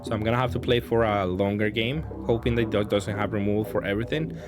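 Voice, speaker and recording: A man's voice: lowish voice, steady tone, fast talker. A man giving casual, improvised commentary on his own card game, thinking aloud hesitantly and often trailing off. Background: background music.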